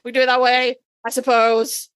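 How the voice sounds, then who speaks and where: A woman with a slightly high voice chats casually as a podcast co-host. She banters playfully and pokes fun at herself. The sound is dry and close, with no room around it. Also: flat delivery, moderate pace, smooth, dry recording. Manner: playful, bantering, self-deprecating. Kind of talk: casual chat.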